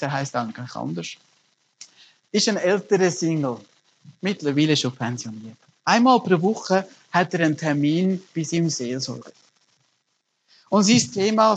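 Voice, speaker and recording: A man's voice: on the high side, husky, poor audio. A middle-aged man, preaching a sermon as a pastor and telling stories earnestly with emphatic rises. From Germany.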